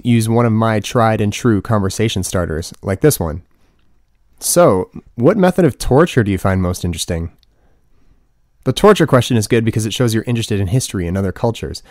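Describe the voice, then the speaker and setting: A man's voice: fairly low pitch, a little mellow, dry recording. A man in his twenties reading his own humorous book as scripted narration, with wry, dry comic asides. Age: 20s. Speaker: author reading own audiobook.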